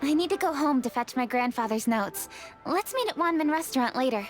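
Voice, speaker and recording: A woman's voice: high voice, lively intonation, brisk, over music. An actress playing a poised, formal young woman in an anime-style video game, articulate and composed. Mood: measured, polite.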